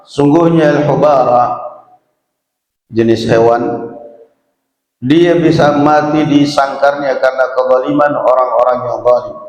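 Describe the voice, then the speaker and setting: A man with an Indonesian accent giving a religious lecture, reciting and explaining in measured, deliberate phrases with pauses. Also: mid-range voice, a little mellow. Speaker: Islamic preacher and teacher. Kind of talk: religious lecture, reading Arabic text aloud then explaining it. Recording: very echoey hall with long reverb.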